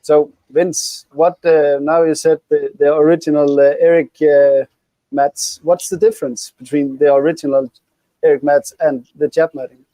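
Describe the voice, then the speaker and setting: Man, Danish native speaker, fairly high voice, mellow, dry sound. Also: little pitch movement, normal speed, no echo, poor audio. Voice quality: hesitant, short replies. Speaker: guest co-host. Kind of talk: casual livestream chat.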